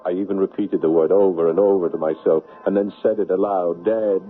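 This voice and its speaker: An actor playing a grief-stricken, bitter son in a 1970s radio drama, halting and anguished as he repeats himself. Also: deep voice, fairly even intonation, soft background music. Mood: somber confession. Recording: muffled, noisy 1970s radio broadcast.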